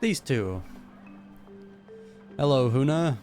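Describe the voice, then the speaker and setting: A man's voice: medium pitch, lively intonation, slow, darkish tone, soft background music. A man giving casual reaction commentary over gameplay as a content creator, with slangy, wry asides.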